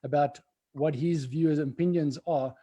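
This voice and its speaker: A man's voice: fairly high pitch, steady tone, normal speed, warm tone, muffled recording. A man co-hosting an immigration livestream in a casual, rambling way, full of fillers and restarts. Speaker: co-host of an immigration webinar.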